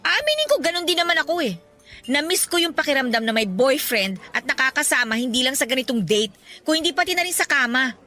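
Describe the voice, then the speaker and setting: A woman's voice: high voice, very animated, fast, bright, forceful tone, faint music behind, heavily acted. A woman playing a fed-up, jealous young girlfriend in a Tagalog radio drama, speaking in an accusing, sarcastic, emphatic way. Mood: weary, resolute. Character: fed-up, jealous young woman confronting her secret boyfriend.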